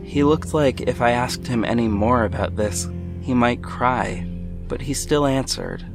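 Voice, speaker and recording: A man tells a story in the first person as an audio drama narrator. His delivery is scripted and reflective, at a medium pitch, with music clearly playing behind him. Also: a little slow. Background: music under the voice.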